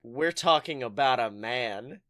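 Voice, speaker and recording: A man hosting a comedic podcast with casual, joking, profane banter in an animated manner. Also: medium pitch, measured pace. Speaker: podcast host.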